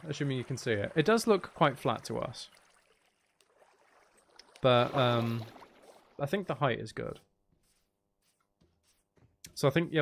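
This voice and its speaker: A man chatting casually as he hosts a live gaming stream, with playful, teasing banter aimed at his viewers. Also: mid-range voice. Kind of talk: casual gaming livestream chat.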